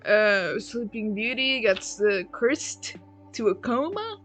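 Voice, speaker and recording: Woman, Portuguese native speaker, casual rambling vlog chat, self-deprecating, casual swearing, somewhat high pitch. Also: expressive, slow pace, fairly bright tone, soft background music, poor audio. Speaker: LEGO build vlogger.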